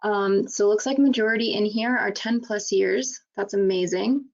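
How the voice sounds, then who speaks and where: A woman's voice: medium pitch, normal speed, gravelly. A woman from a marketing team opening a live webinar, upbeat and casual with many fillers. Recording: dull lo-fi web audio.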